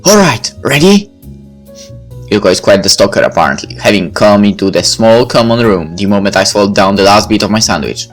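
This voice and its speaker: A man's voice: lowish voice, fairly even intonation, fast talker, hardly any pauses. A man reading first-person visual novel narration aloud, with music behind him.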